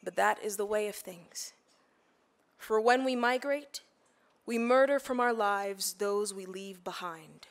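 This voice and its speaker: A woman actress reading literary fiction aloud as a dramatic reading, with an expressive, trained stage voice. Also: mid-range voice, slow pace.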